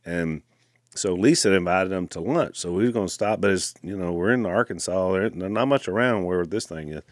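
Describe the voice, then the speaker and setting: Guy, middle-aged, chatty storyteller, lowish voice, dry close mic. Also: no echo. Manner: animated storyteller, quick asides. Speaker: podcast co-host. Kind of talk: casual storytelling chat. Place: wood-paneled studio room. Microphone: studio mic on boom arm, headphones.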